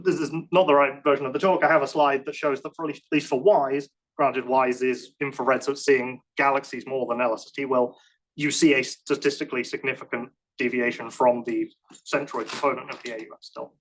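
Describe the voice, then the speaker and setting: A man at a lecture-room conference fielding technical questions. He talks in a casual, halting way, restarting sentences and hedging with self-deprecating remarks. His voice sits at an ordinary middle pitch. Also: fairly even intonation, low-quality recording. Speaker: conference speaker answering questions.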